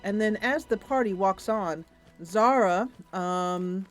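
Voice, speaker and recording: A woman's voice: medium pitch, slow, faint music behind. A woman streamer improvising story narration over a video game in a loose, conversational way.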